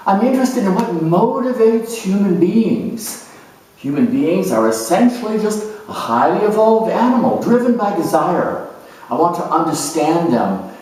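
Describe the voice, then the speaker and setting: A man's voice: a little high, a little mellow. A man playing a self-assured academic psychologist, speaking in a keen, idealistic, lecturing manner. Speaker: university department head. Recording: echoey room, distant microphone.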